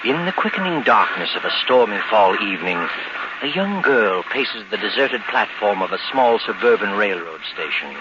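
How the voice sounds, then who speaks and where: Deep, sinister radio mystery narrator. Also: male voice, big pitch swings, moderate pace, heavy background noise. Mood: ominous. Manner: ominous, theatrical, taunting. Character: sinister, mocking, all-knowing male narrator of dark tales. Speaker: narrator and host of a mystery series. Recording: muffled 1940s radio broadcast under loud music.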